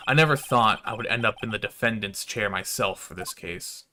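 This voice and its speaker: A man's voice: fairly low pitch, normal speed, sharp tone, a little hiss or hum. A man voicing a beleaguered young lawyer in a game, wry and brooding. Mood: grim, reflective. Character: beleaguered young male lawyer, dry and weary.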